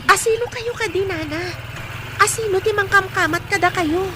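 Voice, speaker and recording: A woman with an Ilocano accent playing a helpful, excitable young village maiden in a comedy radio drama, full of exclamations and questions. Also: very high voice, normal speed, noisy background. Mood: puzzled. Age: young adult.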